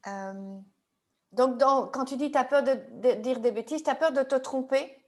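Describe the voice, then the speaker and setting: A woman guiding a therapy session, asking calm, probing questions. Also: high-pitched, unhurried. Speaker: EFT practitioner.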